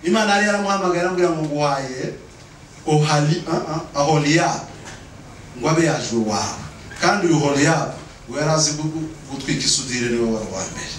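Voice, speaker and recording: A man's voice: a little high, fast talker. A middle-aged man preaching a sermon emphatically, repeating phrases and calling on the congregation to respond, on a handheld microphone in an indoor church. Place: indoor church stage at a pulpit. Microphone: handheld microphone through room speakers. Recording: very echoey hall, distant, noisy.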